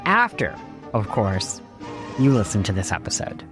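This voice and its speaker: A man's voice: on the low side, wildly swooping pitch, a little slow, music in the background. A man hosting a podcast, reading scripted narration in an articulate, emphatic way. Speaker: podcast host.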